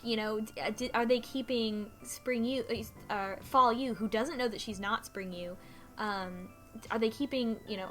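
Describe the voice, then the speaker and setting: A woman co-hosting a talk show, casually retelling a story in a halting, conversational way. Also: mid-range voice, a bit bright. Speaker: podcast co-host. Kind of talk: casual recap discussion of a story.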